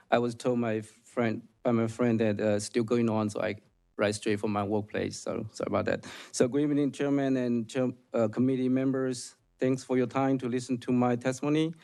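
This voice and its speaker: A man whose first language is Chinese gives earnest, formal, slightly halting prepared testimony at a public hearing. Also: mid-range voice, level tone, dark tone. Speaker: public witness.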